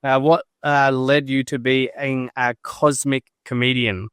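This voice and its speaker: A man's voice: medium pitch, flat delivery, slow pace, a bit bright, smooth, no echo, clean studio sound. A man hosting a podcast interview, talking in a friendly, earnest way with a few hesitant restarts. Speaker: podcast host.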